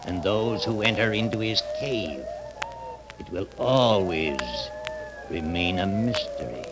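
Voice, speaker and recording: A man playing an ancient, sinister hermit storyteller in old radio horror, with a cackling, wavering voice and a theatrically menacing, drawn-out delivery. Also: lowish voice, very noisy. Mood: eerie, ominous. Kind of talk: 1940s radio horror acting. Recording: old radio broadcast with loud music.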